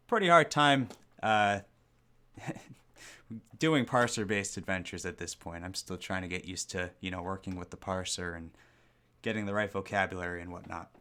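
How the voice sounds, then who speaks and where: Chatty low-voiced male podcast host. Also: clear, resonant tone. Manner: relaxed, chatty, with frequent ums and self-deprecating jokes. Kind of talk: casual livestream podcast chat.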